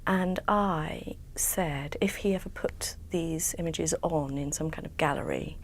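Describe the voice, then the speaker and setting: A woman's voice: sits low, measured pace, slight background noise. A woman talking in an interview as an artist explaining her work. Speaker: sound artist and composer. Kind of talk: interview talk.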